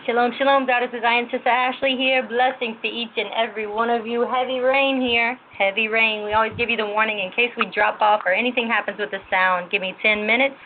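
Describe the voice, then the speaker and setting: A woman's voice: fairly high pitch, steady tone, a little fast, few pauses. A woman hosts a devotional program in a reverent way, offering formal blessings. Her voice comes through a narrow phone-like line, with faint noise around it. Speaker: religious show host. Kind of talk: devotional talk show greeting and testimony. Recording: muffled phone-like line, noisy ambience.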